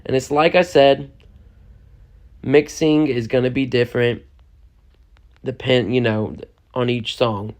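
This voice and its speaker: A young man, a music producer, giving a loose, chatty step-by-step software lesson and often checking in with the listener. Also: medium pitch, steady tone, slow pace, pauses often. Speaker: music producer teaching a tutorial. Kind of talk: casual step-by-step software tutorial.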